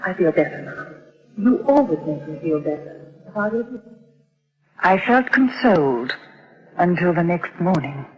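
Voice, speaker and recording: An actress playing a gentle, worried young mother who narrates her own story in an earnest, soft voice, on a dull, lo-fi old radio recording. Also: on the low side, lively intonation, measured pace, pauses often, slightly rough. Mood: anxious. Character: anxious young foster mother, gentle and protective. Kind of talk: 1950s-style radio drama narration.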